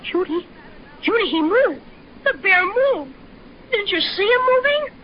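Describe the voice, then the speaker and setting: A woman's voice: extremely high pitch, unhurried, full character voice. A woman playing an eager, curious young boy in a 1930s radio drama. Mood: excited. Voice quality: excitable, exclaiming. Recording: dull old radio transcription with faint hiss.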